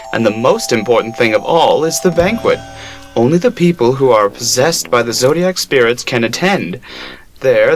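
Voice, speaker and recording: A man's voice: mid-range voice, a bit bright, lightly acted. A man playing a wry, teasing adult guardian in an amateur anime-style radio drama, mock-dramatic as he explains things.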